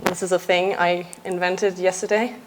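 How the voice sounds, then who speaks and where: A young adult woman from Austria, a software engineer giving a conference talk in a casual, lightly joking way with frequent uhs. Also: fairly low pitch, level tone, moderate pace, background noise. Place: conference stage.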